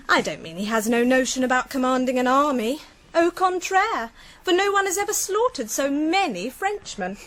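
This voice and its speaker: High, arch Regency courtesan. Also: female voice, a bit bright. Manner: breezy, arch. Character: flirtatious, witty Regency courtesan, breezy and irreverent. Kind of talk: radio drama acting.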